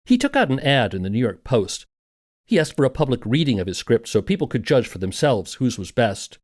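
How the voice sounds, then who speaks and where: A man's voice: medium pitch, darkish tone. A man narrating a scripted documentary podcast in a conversational, wry manner.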